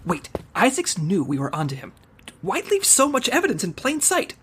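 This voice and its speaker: A woman playing the excitable, defensive leader of a bungling spy team in a comic audio drama, emphatic and quick to justify herself. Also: medium pitch, slight background noise. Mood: puzzled.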